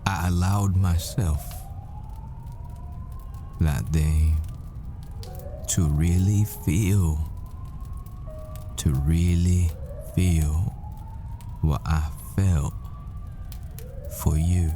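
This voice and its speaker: Low, slow-speaking haunted boyfriend, acted by a man. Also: steady tone. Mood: wistful, tender. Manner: halting, wistful, confessional, with short broken phrases. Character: tender, haunted boyfriend, a math professor and assassin. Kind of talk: intimate scripted audio roleplay monologue. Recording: music behind the voice. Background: music.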